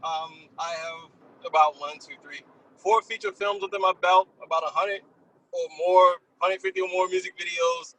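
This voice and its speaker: A man, a film director, introducing himself casually and informally in a halting way while driving. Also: high-pitched, fairly even intonation, pushed and bright, slight background noise, poor audio.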